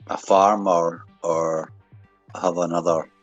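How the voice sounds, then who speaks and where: A man's voice: deep voice, level tone, slow, dry recording, dull audio. A man in casual stream banter, easygoing and laughing along. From United Kingdom. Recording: dry close sound, faint music.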